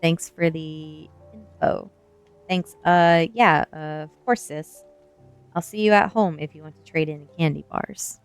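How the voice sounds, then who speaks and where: A woman's voice: low voice, fairly even intonation, unhurried, clear, resonant tone, faint music behind. A woman reading the lines of a bold, sarcastic, stubborn goth teenage girl from a storybook. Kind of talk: storybook dialogue in an ASMR reading.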